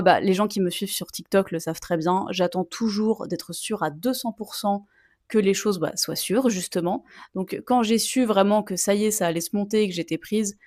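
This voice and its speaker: A woman's voice: mid-range voice, darkish tone, no room sound. Chatty young woman, dry close sound. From Sicilian heritage. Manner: chatty, frequent fillers and asides. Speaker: vlogger and mezzo-soprano opera student. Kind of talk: casual storytelling to camera.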